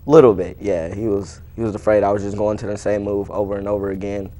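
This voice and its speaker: A man's voice: lowish voice, fairly even intonation, fast talker, warm tone. A young man, an athlete being interviewed, answering casually in a plain, matter-of-fact, informal way.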